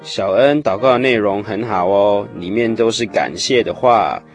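A man playing a patient, steady father of small children in a radio drama, coaxing and explaining. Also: lowish voice, moderate pace, soft background music, close to an everyday voice.

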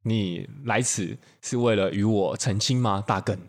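A man's voice: fairly low pitch, measured pace. A man voicing a bashful magical fountain princess in a comic bedtime story, coy and florid. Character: bashful fountain princess turned human, coyly courting.

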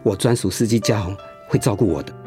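An actor playing an ailing but determined cancer survivor in a radio drama, speaking earnestly and reassuringly with a husky voice. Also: male voice, fairly low pitch, fast, warm tone, faint music behind, lightly acted. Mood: earnest, resolute.

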